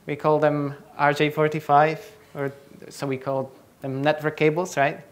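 A man's voice: on the high side, flat delivery, moderate pace. A young man from the Czech Republic, speaking as a native Czech speaker, gives a tech talk on stage. He stops often to quiz the audience. His voice comes through a handheld mic in a large lecture hall. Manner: quizzing the audience. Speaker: conference speaker.

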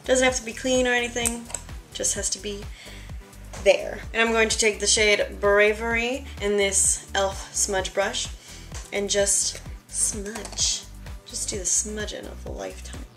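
A young woman with a slightly raspy, tired voice, chatting casually through a makeup tutorial in her bedroom while she works, a little self-deprecating. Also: mid-range voice, steady tone, measured pace, music in the background. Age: young adult. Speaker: beauty vlogger. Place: bedroom, indoors. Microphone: camera in front at close range.

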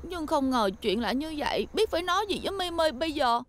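A woman playing a brash, excitable little girl, a protective big sister, in an exaggerated cartoon dub with a southern Vietnamese accent. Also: high voice, fast, heavy background noise. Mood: indignant. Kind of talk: animated cartoon dub acting.

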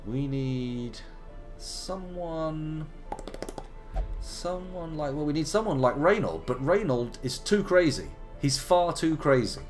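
A man in his thirties, gravelly from a slight cold, chatting playfully through a live game stream. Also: fairly high pitch, expressive, slow pace, faint music behind. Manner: chatty, playful, wandering asides. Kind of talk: casual live game commentary.

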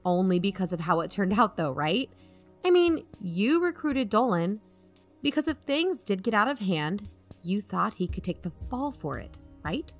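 A woman's voice: mid-range voice, sing-song, moderate pace, faint music behind. A woman playing an assured podcast investigator in a conversational audio drama, reasoning and probing persuasively. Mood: firm, challenging. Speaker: fictional podcast co-host.

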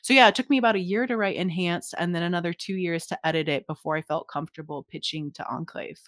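A woman in her thirties speaking on a video call through a headset mic, earnest and reflective, with a light laugh here and there. Her voice sits a little low, and the sound is close and dry. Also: quick pace, dry recording. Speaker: guest author. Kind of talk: casual interview chat. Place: home room, indoors. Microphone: headset with desk microphone, video call.

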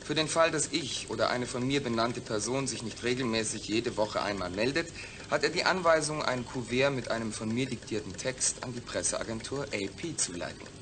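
A man acts out a defiant astronaut patient in a science-fiction radio play. He sits at a middle pitch and speaks deliberately and articulately. He is proud, distrustful and used to making demands. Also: fairly bright tone, slight background noise, natural voice. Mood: defiant, indignant. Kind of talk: German science-fiction radio drama acting.

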